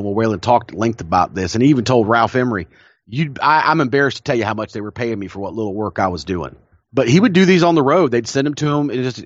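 A man's voice: medium pitch, dry recording. A man co-hosting a podcast, telling stories with enthusiasm, often restarting his sentences and laughing. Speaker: podcast co-host. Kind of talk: casual chat. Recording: dull lo-fi dry recording.

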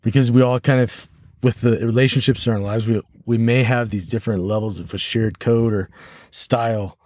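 An American man hosting a podcast solo, talking reflectively and haltingly as he thinks aloud. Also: medium pitch, fairly even intonation, a little mellow, no echo, studio quality. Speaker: podcast host.

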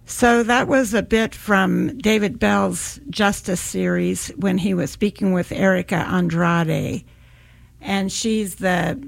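Slow gravelly-voiced woman radio host. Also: lowish voice. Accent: American. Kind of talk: community radio fundraiser hosting.

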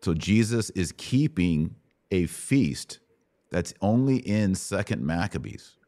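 A man's voice: low voice, expressive, slow pace, mellow, no room sound. A middle-aged American man hosting a conversational religious question session, explaining step by step and checking understanding with short questions. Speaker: host answering Bible questions.

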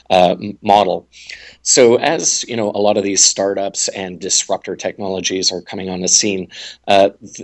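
A man answering as a podcast guest, explaining fluently with frequent "uh" and "you know" fillers. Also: low voice. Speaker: guest, tech manager. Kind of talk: casual interview answers.

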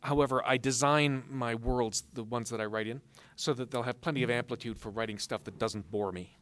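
A man answering interview questions as a guest author, explaining things in a measured way with dry humor. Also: medium pitch, steady tone, fairly bright tone, a little hiss or hum. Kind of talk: live interview answers before an audience.

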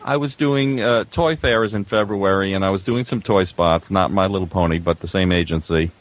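A middle-aged or older man, an audio engineer guest, casually telling stories in a wry, halting way. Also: deep voice.

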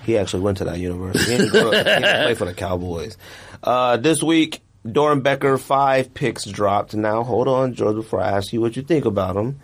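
Animated, slangy man podcasting. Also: fairly low pitch, brisk, slightly rough. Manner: slangy, teasing. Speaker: sneaker podcast co-host. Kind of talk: casual podcast banter.

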